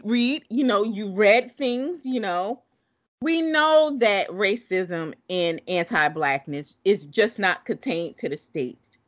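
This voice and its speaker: A woman's voice: on the high side, lo-fi sound. Woman in a casual book chat, blunt and emphatic, keeps repeating her point. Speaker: book discussion co-host.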